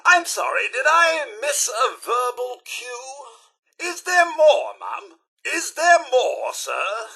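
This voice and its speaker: Prissy british robot butler for a game, super bright n raspy, prim, theatrical, dry sarcasm. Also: male voice, very high voice, sing-song, slow pace, full character voice. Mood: flustered. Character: polite, fussy, sardonic male robot butler companion. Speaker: video game voice actor. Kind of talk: scripted game companion dialogue.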